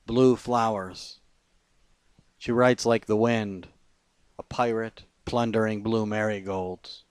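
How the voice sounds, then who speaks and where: Guy with a lowish voice reading his poems out loud, short deliberate lines, pauses, really dry sound. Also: dry recording. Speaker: poet. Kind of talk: spoken-word poetry reading.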